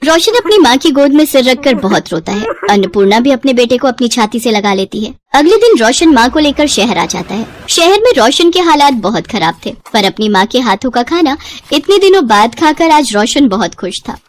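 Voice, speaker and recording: A woman's voice: fairly high pitch, very animated, moderate pace. A woman reading a scripted moral story as its narrator.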